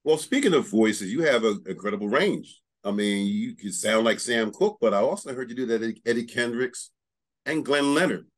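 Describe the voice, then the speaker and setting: A man's voice: medium pitch, expressive, moderate pace. A middle-aged man hosting a casual interview in a small studio, chatty and enthusiastic, speaking into a studio mic. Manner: chatty, enthusiastic, many "you know"s. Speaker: show host. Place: studio with banner backdrop. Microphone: studio mic on stand.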